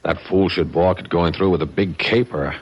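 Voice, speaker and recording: A man's voice: low voice, normal speed, husky, highs cut off. An actor playing a crooked, murderous bookie with slangy American tough-guy talk in a radio drama. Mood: uneasy, menacing. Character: crooked, nervous bookie plotting a murderous heist. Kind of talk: 1970s radio drama acting.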